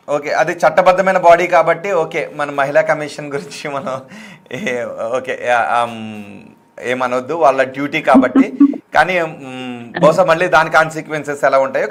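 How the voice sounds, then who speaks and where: A man's voice: fairly high pitch, a little fast, fairly bright tone. A man hosting a live call-in debate, addressing viewers insistently, repeating his appeals and mixing in English words. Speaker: live TV news debate host.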